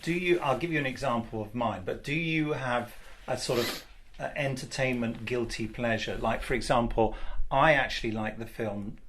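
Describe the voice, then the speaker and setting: A man's voice: mid-range voice, normal speed, a bit bright, a little hiss or hum. An older man interviewing in a studio, jokey and self-mocking in casual chat. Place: recording studio.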